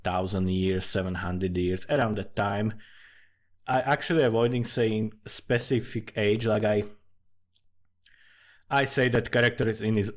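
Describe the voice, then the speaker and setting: Low-voiced man with a Slavic accent, rambling casually. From Slavic country. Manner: informal, frequently swearing, halting non-native English phrasing. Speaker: amateur writer.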